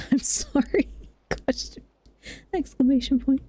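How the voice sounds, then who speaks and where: A woman's voice: high voice, unhurried, background noise. A woman live streamer giving casual, giggly, playful commentary over a game.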